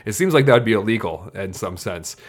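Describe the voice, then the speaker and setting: A man's voice: fairly low pitch, animated, a little fast. A man co-hosting a sports podcast in a casual, opinionated, self-deprecating way. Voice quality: slight trouble saying hard NG sounds.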